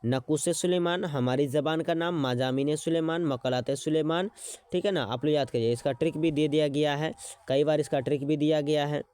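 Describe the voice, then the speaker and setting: A man teaching an exam-prep lesson, repeating words slowly as he writes them out and checking in with his students as he goes. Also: a little high, steady tone, darkish tone, clean tone. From Bihar.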